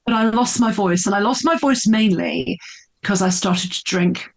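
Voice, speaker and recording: A woman's voice: mid-range voice, moderate pace, creaky. A middle-aged woman, a podcast guest telling her story in an earnest, emphatic way, often repeating words for effect. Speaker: podcast guest, speaker and coach. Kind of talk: candid storytelling interview.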